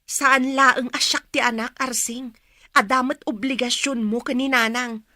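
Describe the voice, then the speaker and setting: A woman's voice: high voice, fairly even intonation, unhurried, pushed and bright, no echo, studio quality. An actress playing a weary, resentful daughter caring for her mother, in an Ilocano-accented radio drama, exasperated and complaining. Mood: pleading, frustrated.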